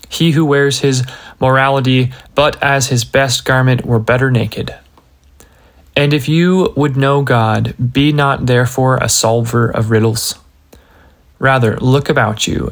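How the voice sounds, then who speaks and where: A man narrating a magazine article as scripted narration, reading deliberately in short, measured phrases. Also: mid-range voice.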